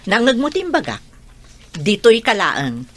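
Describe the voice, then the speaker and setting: A woman's voice: medium pitch, huge pitch swings, a little slow. A woman playing a strict, stubborn working mother in a radio drama, curt and insistent. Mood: stern.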